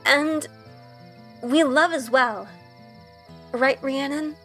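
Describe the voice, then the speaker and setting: Audio drama lead: young good-girl witch, played by a woman, sincere, highish pitch, light music bed. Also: drawn-out words, a bit bright, heavily acted. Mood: earnest, pleading. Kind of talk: first-person audio drama narration and dialogue.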